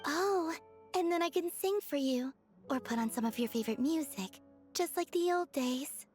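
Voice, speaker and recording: A woman voicing a gentle, dreamy and sweet young woman in a video game, soft-spoken, innocent and fond. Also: sits high, moderate pace, lightly acted. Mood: hopeful. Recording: game dialogue under clear music.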